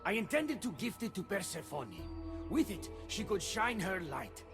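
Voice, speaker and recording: A high-pitched male god from a video game, anxious and devoted to his queen. His delivery is earnest and theatrical with plenty of creak, and music plays clearly behind him. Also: very animated, normal speed. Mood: grateful, earnest. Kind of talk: video game cutscene acting.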